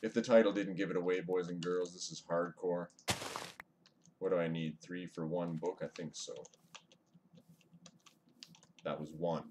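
Male live streamer, deep voice, chatty, greets chat mid-game; webcam mic, faint hiss. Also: fairly even intonation, moderate pace, a little mellow. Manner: chatty, greets viewers, mutters over gameplay. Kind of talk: casual live-stream chat while gaming. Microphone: webcam microphone, away from mouth.

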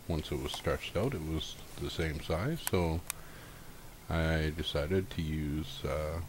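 A man's voice: very deep voice, background noise. A man casually narrating a step-by-step repair tutorial in an informal, folksy, unscripted way.

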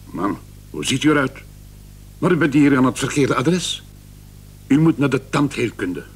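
An actor playing a gruff, obstructive male hospital doorkeeper, blunt and grudging, in a Dutch radio play. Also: mid-range voice, very animated, moderate pace.

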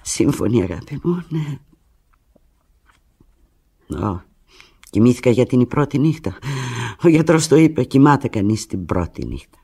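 Radio play lead: heartbroken woman clinging to her lover by phone, husky, intense, fragmented delivery. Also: very animated, pauses often, heavily acted. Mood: wounded, resigned. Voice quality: low, husky. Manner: intense, fragmented, swinging from hushed to anguished. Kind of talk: radio drama monologue, one side of a phone call.

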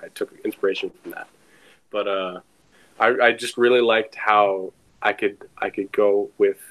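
A man's voice: on the low side, a bit bright, rough recording. A young man talking casually about his acting in a video call interview. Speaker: student voice actor.

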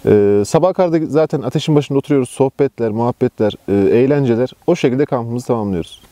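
Fast-talking mellow young man. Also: mid-range voice, dry recording. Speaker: camping group founder and organizer. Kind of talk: casual interview to camera. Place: outdoors at a campsite.

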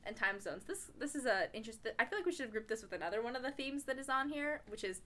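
Bright, high-voiced young woman. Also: lively intonation, fast talker. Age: young adult. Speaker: podcast host. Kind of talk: casual podcast chat.

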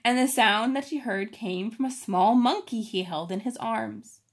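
A woman reads a children's story aloud with expressive emphasis, pausing to explain it informally. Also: a little high, breathy edge. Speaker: storybook reader.

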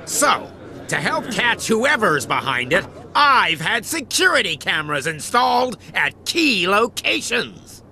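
A man's voice: sits high, sing-song, measured pace, a little hiss or hum, heavily acted. A man voicing a pompous, commanding police chief in a video game. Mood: stern briefing. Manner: pompous, barking orders. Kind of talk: animated video game character acting. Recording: loud music behind.

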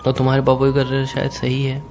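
A man's voice: mid-range voice, completely flat delivery, fast talker, a little mellow. A young man speaks on a recorded, emotional phone call. He sounds insistent and pleading, in a private conversation. Music plays faintly behind his voice. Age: young adult.

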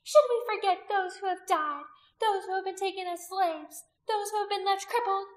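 A woman voicing a venomous, imperious pagan high priestess in an audiobook, declaiming accusations as if to a crowd. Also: normal speed, roomy sound. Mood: fiery, disdainful. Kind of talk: dramatic audiobook character acting.

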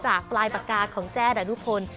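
A woman's voice: brisk, sharp tone, soft background music. A woman entertainment news presenter narrating a scripted feature in a chatty, polite manner. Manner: chatty, frequent polite particles.

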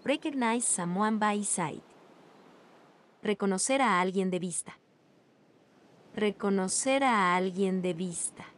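Woman, language drill reader, very slow, measured, mid pitch. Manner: reads each phrase slowly with long pauses. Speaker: language teacher. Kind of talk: slow repeated phrase drills.

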